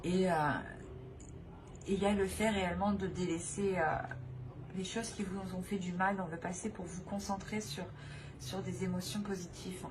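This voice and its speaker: A woman giving an informal spiritual tarot reading, halting and repeating herself as she searches for words. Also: on the low side, fairly even intonation. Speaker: tarot reader hosting a channel. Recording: clearly noisy recording with ambient sound.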